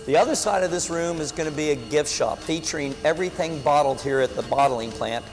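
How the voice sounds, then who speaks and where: An older man, a chef and business owner, giving a folksy, salesy promotional pitch to camera. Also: a little fast, in a large hall, faint music behind. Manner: folksy, salesy, plain-spoken. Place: commercial kitchen and office.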